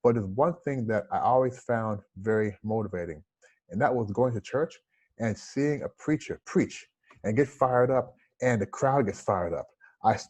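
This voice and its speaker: A man in his forties speaks with a slight lisp, earnest and reflective as he tells his own story in an interview. His voice sits at an ordinary middle pitch. He talks over a video call from a room at home, with a lapel mic. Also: darkish tone, slightly rough. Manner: earnest, reflective, gestures with hands. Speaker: interview guest, entrepreneur.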